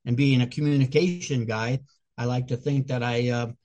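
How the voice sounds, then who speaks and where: An older man with a Chicago accent answers interview questions at a measured pace. His voice sits in the middle of the male range, and he explains things carefully, breaking off now and then to restart a thought. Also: mid-range voice, fairly even intonation, mellow. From Chicago, USA. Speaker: interview guest, speaker and author.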